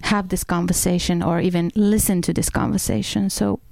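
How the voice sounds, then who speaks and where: A woman host asks her questions in a steady, guiding way. Her voice is somewhat low and mellow, with plenty of gravelly fry. She speaks in a flat, even tone, recorded dry. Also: normal speed, a little hiss or hum. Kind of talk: interview questions.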